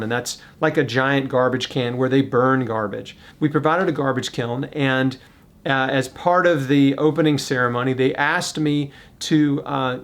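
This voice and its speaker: A man narrating a vlog in a casual, heartfelt, conversational way. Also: mid-range voice. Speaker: vlog host.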